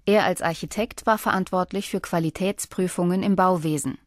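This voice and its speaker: A woman delivers scripted documentary narration in a lowish voice. Her reading is measured and even. The recording is dry and close. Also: no room sound.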